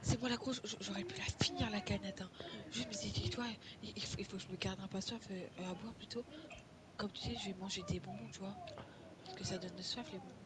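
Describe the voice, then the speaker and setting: A woman chatting with friends in quick, slangy casual speech, often trailing off into a murmur. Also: medium pitch, very noisy. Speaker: friend of the vlogger. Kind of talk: casual chat among friends.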